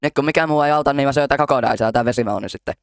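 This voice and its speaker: A man's voice: mid-range voice, clean tone, dry recording, close to an everyday voice. A man voicing a hapless, easily frustrated cartoon cat in an exclamatory, exasperated way for an amateur comedy fandub. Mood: determined.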